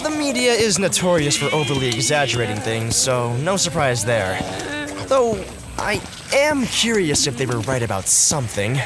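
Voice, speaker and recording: An excitable, squeamish young deputy, acted by a man in a comic zombie audio drama. His wisecracking delivery leaps around in pitch over loud music. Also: moderate pace, natural voice. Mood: amazed, squeamish.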